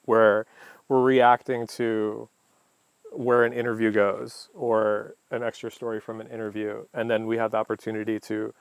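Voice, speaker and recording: A man with a fairly low voice speaks as a documentary producer, slowly choosing his words. His voice is close and dry, with crickets faintly behind him. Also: level tone, vocal fry, smooth, no room sound, low-quality recording. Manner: measured, pausing to find words. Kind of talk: interview answers.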